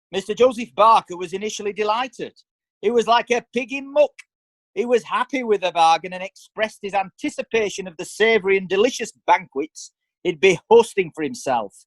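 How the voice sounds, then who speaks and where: A man's voice: high voice, no echo. A man playing an indignant medieval lawyer who argues in a pompous, theatrical way. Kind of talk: amateur radio drama.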